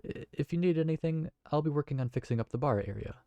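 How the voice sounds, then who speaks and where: A man's voice: on the high side, animated, fast, mellow, no room sound, lo-fi sound. A man voicing a kind, awkward tavern keeper who talks in a hesitant, friendly way. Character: kind, awkward young male tavern keeper named Briar. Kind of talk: fantasy audio roleplay.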